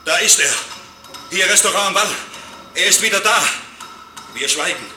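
An actor playing a fearful onlooker who announces things ominously in a tense, declamatory radio play style. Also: male voice, high voice, expressive, normal speed, sharp tone, full character voice. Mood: anxious, ominous. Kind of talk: German radio play acting. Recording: echoey, distant, noisy old radio recording.

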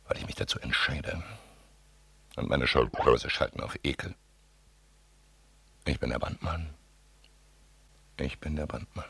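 A man's voice: extremely low pitch, gravelly, a bit bright, breathy edge, no room sound, exaggerated voice. A man playing a bitter, disgusted reclusive tape artist in an experimental radio drama monologue, speaking in staccato, intense bursts.

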